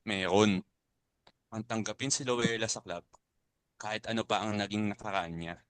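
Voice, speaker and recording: A man's voice: fairly low pitch, fairly even intonation, moderate pace, smooth, no echo, natural voice. A man with a Filipino accent playing a principled, compassionate club uncle in a dramatic reading. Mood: earnest, firm. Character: principled older club uncle urging compassion over fear.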